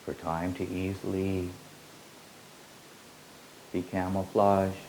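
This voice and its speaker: A man with a very low voice gives a spiritual teaching, repeating his phrases slowly like an incantation. His pitch barely moves. A faint hiss from an old tape sits under the voice. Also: level tone, dark tone, low-quality recording. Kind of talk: slow meditative spiritual teaching.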